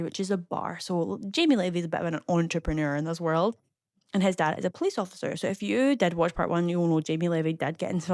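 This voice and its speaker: A young Scottish woman chatting casually as a voice-over, rambling with lots of fillers and quick asides. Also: lowish voice, darkish tone, clean tone, no echo, studio quality. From Scotland. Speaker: YouTuber narrating a game build.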